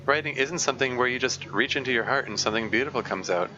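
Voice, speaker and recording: A man's voice: mid-range voice, quick pace, voice pushed up, close to an everyday voice. A man reading aloud the lines of an upbeat teenage girl club president from a game, giving earnest advice. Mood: encouraging. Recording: muffled lo-fi mic with steady hiss.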